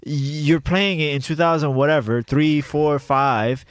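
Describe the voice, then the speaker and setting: A man with a low voice, co-hosting a podcast in rambling, joking, self-deprecating banter. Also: a little slow, no echo, studio quality. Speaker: podcast co-host. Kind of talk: casual banter.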